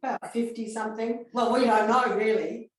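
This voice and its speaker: A woman's voice: fairly high pitch, steady tone, normal speed, a little hiss or hum. A middle-aged woman with an Australian accent, casually reminiscing about family in an interview. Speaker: guest's adult child joining the interview. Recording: very echoey, distant from the mic.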